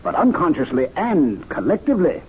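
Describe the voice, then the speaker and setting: An actor playing an authoritative, persuasive club member with an oratorical manner in a radio mystery drama. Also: male voice, on the high side, wildly swooping pitch, husky, heavily acted. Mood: assured, argumentative. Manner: oratorical, deliberate rhetorical phrasing. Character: authoritative male club member, assured, didactic, persuasive theorist. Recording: dull vintage radio recording with hiss.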